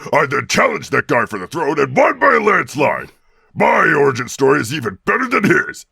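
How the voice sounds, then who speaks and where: A man voicing a pompous, taunting monster king in a cartoon parody, with a rough, gravelly voice. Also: a little high, wildly swooping pitch, brisk, a crowd in the background, exaggerated voice. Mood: pompous, boastful.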